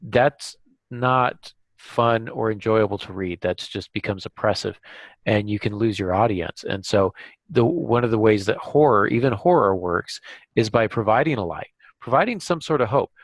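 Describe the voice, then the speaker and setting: A man co-hosting a casual podcast discussion, speaking in a halting way as he thinks aloud. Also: lowish voice, expressive, no room sound. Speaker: podcast co-host.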